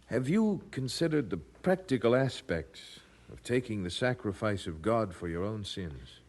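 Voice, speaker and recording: An actor playing a devout, courteous, resolute preacher in an audio drama, speaking formally and earnestly. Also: male voice, on the low side, animated, unhurried, a little mellow.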